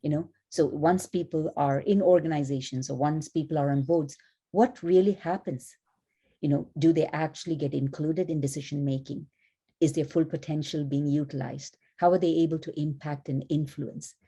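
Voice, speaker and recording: A woman panelist who grew up in India, speaking in a thoughtful, measured way with frequent "you know", joining a panel over a video call. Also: sits low, steady tone, warm tone, slightly rough. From born in Malaysia, grew up in India. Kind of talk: panel discussion remarks.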